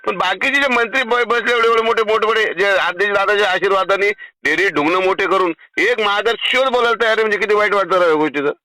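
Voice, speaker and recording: A man's voice: sits high, fast talker, bright, forceful tone, dry recording, low-quality recording. A man with a Marathi accent from Maharashtra, blunt, foul-mouthed and insistent in a phone conversation. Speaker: caller making political complaints.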